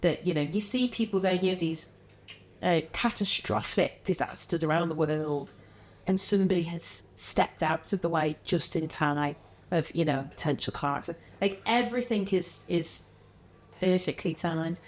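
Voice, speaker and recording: Animated woman with Liverpool-area accent. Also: lowish voice, moderate pace. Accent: mild Liverpool-area English. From Liverpool area, England. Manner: animated, acts out quoted voices, frequent laughs. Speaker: guest, wellness business founder. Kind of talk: casual podcast conversation.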